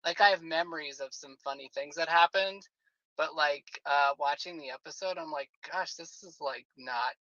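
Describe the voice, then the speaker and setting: A man's voice: a little high, moderate pace, sharp tone, no echo, dull audio. A man in his late forties chatting casually on a podcast, rambling with lots of fillers. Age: 40s. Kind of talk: casual chat.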